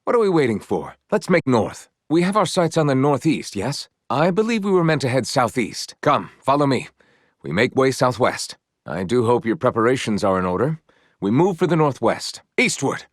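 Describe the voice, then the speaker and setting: An actor voicing a courteous, earnest and gently proud young knight in a video game, with formal, eloquent phrasing. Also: male voice, mid-range voice, big pitch swings, normal speed, no room sound, studio quality. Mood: brisk, polite, then urgent, commanding. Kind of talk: video game character voice lines.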